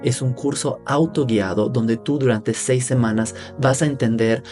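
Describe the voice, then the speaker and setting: A man with a Mexican accent hosting a podcast interview, speaking in a soft, reflective way. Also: mid-range voice, unhurried, faint music behind. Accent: Mexican Spanish. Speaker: podcast host.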